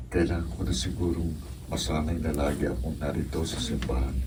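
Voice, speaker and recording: A man playing an earnest, gently firm young seminarian in a radio drama, speaking seriously and with measure. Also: extremely low pitch, steady tone, a little fast. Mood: solemn, reflective. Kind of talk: Filipino radio drama acting. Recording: distant mic, noisy room ambience.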